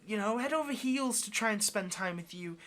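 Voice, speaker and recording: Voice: mid-range voice, animated, brisk, a bit bright, lightly acted. A performer playing a lonely, pleading, affectionate caged demon in an intimate ASMR roleplay, speaking in a hesitant, coaxing way. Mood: indignant, protective. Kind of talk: ASMR roleplay, intimate one-sided conversation.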